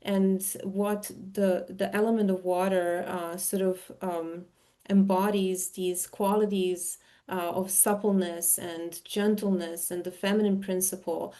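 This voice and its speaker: A woman from Serbia presenting her own art in a talk, speaking in a searching way with frequent pauses and self-interruptions. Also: fairly low pitch, flat delivery, dark tone. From Serbia, former Yugoslavia. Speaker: artist, interviewee.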